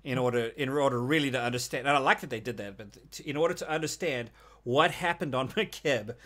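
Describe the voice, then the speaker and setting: A man hosts a casual roundtable gaming talk. His voice sits at an ordinary male pitch, and he talks in a conversational way as he steers the discussion. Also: brisk, bright, forceful tone. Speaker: host of a group discussion show.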